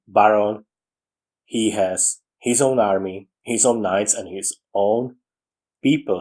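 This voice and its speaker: A man with a Slovak accent, English being his second language, talks in an unscripted, meandering way. His voice sits on the lower side. He often restarts sentences and leaves out small words. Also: a little mellow, rough recording. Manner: rambling, with frequent restarts and dropped articles. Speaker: writing podcaster. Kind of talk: casual monologue on story writing.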